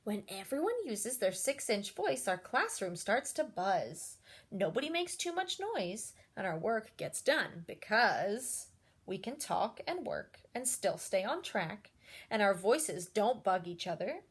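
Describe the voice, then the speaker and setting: A woman reading a children's picture book aloud like a teacher, expressive and encouraging, putting questions to her young listeners. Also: mid-range voice, a little slow, breathy edge. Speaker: read-aloud storyteller and teacher.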